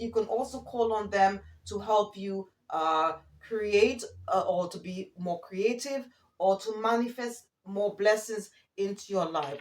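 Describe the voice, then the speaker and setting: A woman giving a spiritual card reading in a halting manner, often checking in with the listener as she reads and improvises. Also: mid-range voice. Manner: hesitant, halting, often checking in with okay and all right. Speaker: oracle card reader and spiritual guide. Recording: roomy echo, distant from the mic.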